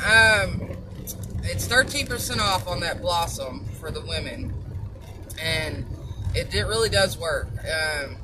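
A woman talks into her own vlog with a loud, strong voice, wandering from thought to thought with plenty of "um"s and long pauses. Her manner is blunt and outspoken. A steady hum of car and road noise sits clearly under her voice. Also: fairly low pitch. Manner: rambling, halting with long pauses and filler words. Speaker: vlogger selling supplements. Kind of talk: casual chatty monologue.

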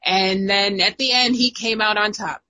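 A woman's voice: mid-range voice, steady tone, normal speed, pushed and bright, a little hiss or hum. A young adult woman co-hosting, chatting casually with a playful, teasing manner and frequent laughter. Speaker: podcast co-host. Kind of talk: casual chat.

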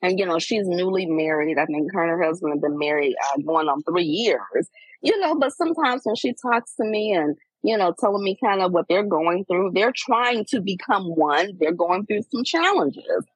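A woman in her forties, talking candidly in a casual chat, reflective and animated as she tells personal stories. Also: on the low side, normal speed, slightly rough, no room sound, low-quality recording. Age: 40s. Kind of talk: casual heartfelt conversation.

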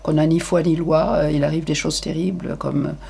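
An older woman giving frank, hesitant interview answers, with many pauses and fillers. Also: low-pitched, steady tone, gravelly, dark tone, husky.

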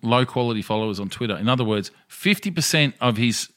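Joking podcast co-host. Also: male voice, mid-range voice, animated, fairly bright tone. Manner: joking, laughing, reads news aloud haltingly. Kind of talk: casual podcast banter.

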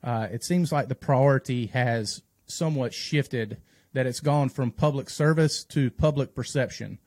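A man's voice: mid-range voice, measured pace, a little mellow, dry recording. A man talking as a firefighter and instructor in a podcast conversation, explaining earnestly at length and correcting himself as he goes. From North Carolina, United States.

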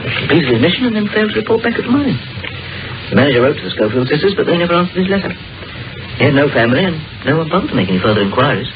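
An actor playing an imaginative, dryly amused small-town doctor who muses like a storyteller in an old-time radio drama. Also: male voice, on the high side, big pitch swings, background noise. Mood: matter-of-fact. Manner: musing, storytelling, deliberate phrasing. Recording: muffled vintage radio recording with music.

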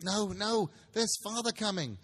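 Man doing excited Victorian children, high-pitched. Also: measured pace, slightly rough, in a large hall, heavily acted. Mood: urgent excitement. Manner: exclaiming, eager.

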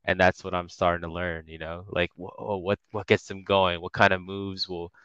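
A man's voice: low voice, flat delivery, moderate pace, sharp tone, clean tone, no echo, lo-fi sound. A young man from the Washington, D.C. area, a guest rapper talking casually in a podcast interview, laid-back, with frequent laughs and fillers. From Washington, D.C. area, USA.

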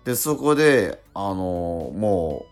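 A man co-hosting a radio show in casual chat, telling stories excitably with repeated emphatic words. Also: low voice, takes it slowly, faint music behind. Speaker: radio show co-host and stage actor. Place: recorded radio programme.

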